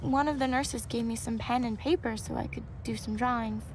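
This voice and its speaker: A woman acting a gentle, devout young student in a video game, fragile but hopeful, earnest and sincere. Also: fairly high pitch, steady tone, brisk, slight background noise, lightly acted. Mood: grateful, hopeful. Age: young adult. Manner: soft-hearted, earnest, sincere.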